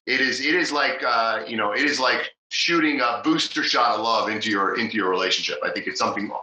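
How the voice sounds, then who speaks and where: A man's voice: medium pitch, fairly quick, sharp tone, roomy sound, poor audio. A man hosting a podcast, talking casually and with enthusiasm, giving encouraging advice to listeners. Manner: enthusiastic, many fillers and restarts, encouraging. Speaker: podcast host. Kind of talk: casual interview talk.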